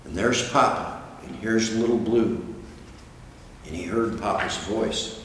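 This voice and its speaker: An older man reading a picture book aloud, pausing to add explanatory asides for listeners. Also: fairly low pitch. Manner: expository, points out pictures to listeners. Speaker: guest storybook reader. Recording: distant, very echoey hall, faint noise.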